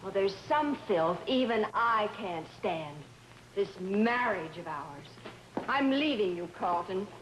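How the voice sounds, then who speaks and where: An actress playing a bitter, defiant younger wife in an old-time melodrama, sharp and scornful in delivery. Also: female voice, mid-range voice, animated, takes it slowly. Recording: noisy vintage TV drama soundtrack.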